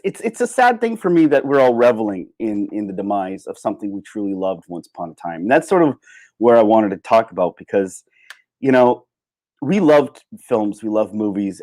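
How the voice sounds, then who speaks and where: A man giving a casual, opinionated livestream rant, sardonic and emphatic, with halting starts and repeated phrases. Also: on the low side, a little mellow. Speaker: livestream host.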